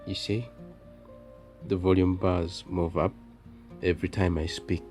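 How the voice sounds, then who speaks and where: A man presenting an unscripted repair demonstration, talking in halting, piecemeal phrases as he works. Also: low-pitched, little pitch movement, a little mellow, soft background music. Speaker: phone repair tutorial presenter. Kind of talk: unscripted hands-on demonstration narration.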